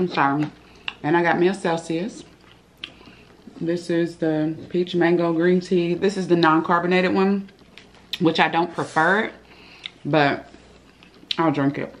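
A woman's voice: lowish voice, slight background noise. A woman in her thirties chatting casually to her viewers while eating at home, calling them y'all. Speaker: vlogger. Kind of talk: casual eating vlog chat. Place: home living room, indoors.

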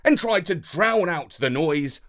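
A man voicing an excitable, loving, dramatic space dog who narrates a children's story with theatrical enthusiasm. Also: a little high, measured pace. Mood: storytelling.

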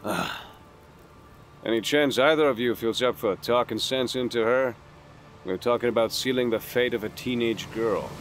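A man playing a weary, conscientious assassin in an audio drama, speaking gravely and tersely. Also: mid-range voice, normal speed, a little hiss or hum, lightly acted. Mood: exasperated. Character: brooding, conscientious male assassin, weary and sober.

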